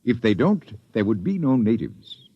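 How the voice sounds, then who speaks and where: An actor playing a guilty expedition doctor, speaking hesitantly and apologetically in a 1950s radio drama, recorded as an old broadcast. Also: male voice, mid-range voice, sing-song, normal speed, slightly rough, no echo, lo-fi sound. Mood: worried.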